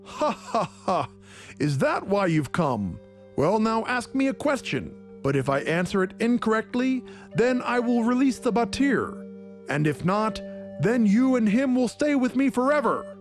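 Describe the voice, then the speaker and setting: Man doing a high cackling evil steppe spirit, mocking, swooping laughter, soft music behind. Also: very animated, measured pace. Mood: gleeful, mocking.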